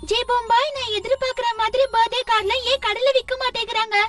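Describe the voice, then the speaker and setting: Eager schoolgirl, children's cartoon, very high childlike voice, loud music behind. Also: steady tone, fast. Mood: curious, eager. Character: young schoolgirl, sweet, eager, easily disappointed. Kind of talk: Tamil-dubbed children's cartoon acting. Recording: distant sound under loud background music.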